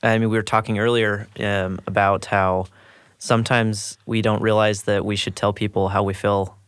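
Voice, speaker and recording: Male, fairly low flat voice, casual radio panel chat, dry studio. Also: moderate pace, clean tone, dry recording. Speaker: assistant producer and panelist.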